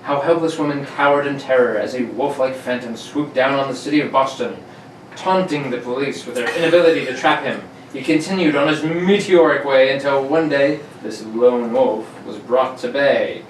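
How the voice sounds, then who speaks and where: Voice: mid-range voice, normal speed. A host narrating an old-time radio play in a theatrical, scripted announcer style. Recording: echoey room, distant mic, faint noise.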